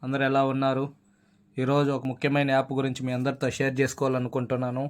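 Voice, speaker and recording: A man's voice: mid-range voice, completely flat delivery, quick pace. A man hosting a casual app tutorial, addressing listeners as friends. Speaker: YouTube app tutorial host.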